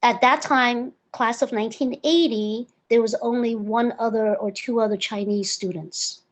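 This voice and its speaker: Woman, fifties, eager storyteller, interview guest, somewhat high voice. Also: steady tone, normal speed. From born in Hong Kong, raised in the United States. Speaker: guest, real estate broker. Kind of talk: conversational interview.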